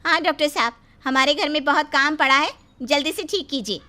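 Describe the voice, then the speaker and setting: A woman's voice: high voice, a little fast, pushed and bright. A woman voicing a cruel, scheming young daughter-in-law who talks harshly and bossily in a cartoon. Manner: harsh, bossy.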